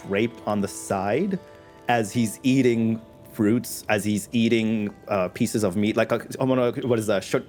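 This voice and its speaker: A man playing a tabletop roleplaying game, describing a luxurious throne room in long, unhurried detail with casual asides. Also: lowish voice, fairly even intonation, darkish tone, soft background music. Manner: lush, slow scene-painting with casual asides. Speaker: player describing his character's throne room.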